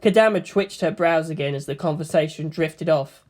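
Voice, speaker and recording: A woman's voice: deep voice, normal speed, a little mellow. A woman reading a story aloud as scripted narration.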